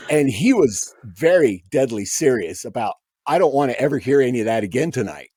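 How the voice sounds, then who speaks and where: Guy telling band road stories on a podcast, lively bouncy voice, dry close sound. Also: mid-range voice, brisk, breathy edge, dry recording. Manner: animated anecdote teller, acts out quoted voices. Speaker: guest musician. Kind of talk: casual storytelling.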